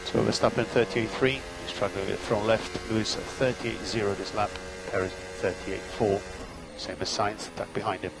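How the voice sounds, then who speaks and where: A man's voice: lowish voice, fairly even intonation, moderate pace, gravelly, loud music behind the voice. A man working as a race engineer, giving terse, clipped instructions and data over motor racing team radio. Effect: team radio transmission.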